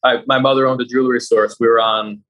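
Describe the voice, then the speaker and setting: Male interviewee from around New York, average pitch, conversational, easygoing podcast delivery. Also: level tone, quick pace, a bit bright. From New York area, United States. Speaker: podcast guest, entrepreneur. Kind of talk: casual interview answers.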